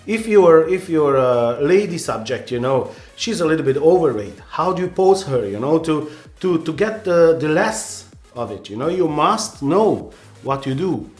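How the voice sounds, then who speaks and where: A man's voice: on the high side, very animated, unhurried, a little mellow, reverberant, faint music behind. A man with a Hungarian accent, a native Hungarian speaker, giving earnest, rambling photography advice with many restarts and "you know"s in a casual vlog.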